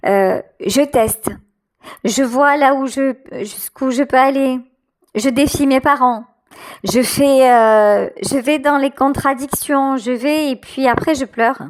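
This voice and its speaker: A woman giving an unscripted tarot reading directly to the listener, halting and repeating her phrases. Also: high voice.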